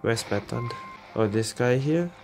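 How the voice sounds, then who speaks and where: Guy doing chill lets play commentary, thinking out loud, game music in back. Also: mid-range voice, animated, unhurried. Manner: musing, tags sentences with "eh". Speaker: gaming streamer. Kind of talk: casual gameplay commentary.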